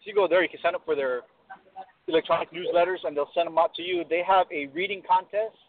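A man's voice: on the high side, fast, dry recording. A man co-hosting a casual radio chat over a phone line, with a jokey way of talking. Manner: jokey, acts out quotes. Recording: dry, tinny phone line.

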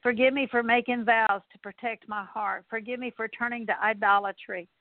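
A woman's voice: fairly high pitch, fairly even intonation, moderate pace, dry recording. A woman leading a spoken prayer of repentance, earnestly repeating one confession after another. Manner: earnest, repetitive litany of confessions. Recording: tinny phone-like line, dry.

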